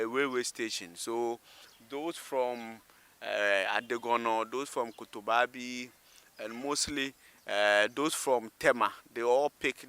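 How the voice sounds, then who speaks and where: Bright-voiced Ghanaian man presenting on location. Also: mid-range voice. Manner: explanatory, repeats and rephrases himself. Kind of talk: unscripted piece to camera. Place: outdoors at a small railway station.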